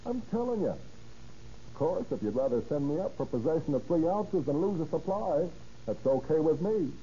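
A man's voice: a little high, sing-song, normal speed, dark tone, slight background noise. An actor playing a brazen, wheedling young male small-time crook, argumentative and slangy, in a 1930s radio crime drama. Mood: wheedling, bargaining. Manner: slangy, argumentative tough talk. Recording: muffled old radio recording.

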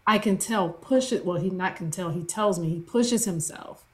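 Supportive woman host, lowish voice. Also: moderate pace, a little mellow. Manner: supportive, affirming listener. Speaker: podcast host. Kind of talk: casual conversational interview.

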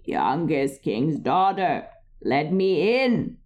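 A man voicing a frog in a fairy tale reading, drawing out his words slowly. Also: on the high side, very animated, a little mellow, full character voice. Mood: pleading. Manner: slow, drawn-out coaxing. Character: slow, coaxing male frog at a well. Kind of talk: storybook reading.

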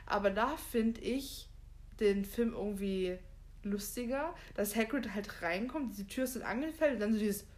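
A woman's voice: medium pitch, moderate pace, a little mellow. Casual, chatty woman podcast co-host. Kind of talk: casual chat, discussing a book and film.

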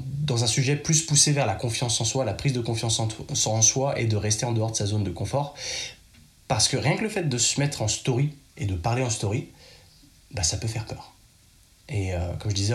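Chatty Frenchman giving advice in an echoey room. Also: on the low side. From France. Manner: chatty, direct, addresses listener informally. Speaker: podcast host. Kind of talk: casual advice monologue.

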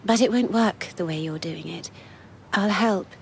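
Fervent disturbed woman, intense confiding delivery, radio drama, faint background rumble. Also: unhurried, creaky. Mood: eerie, fervent. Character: unhinged woman, fervently welcoming her executioner role.

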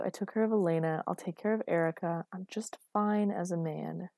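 Put-upon father in his thirties, played by a woman, earnest, protesting, audiobook character, mellow, dry recording. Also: lowish voice, no echo, close to an everyday voice. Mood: indignant.

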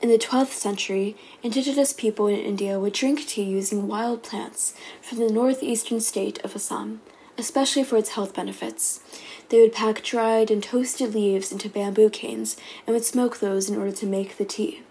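Mellow woman reading a scripted history. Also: mid-range voice, steady tone, rough recording. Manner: measured, read from a script. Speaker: podcast narrator. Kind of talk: scripted narration.

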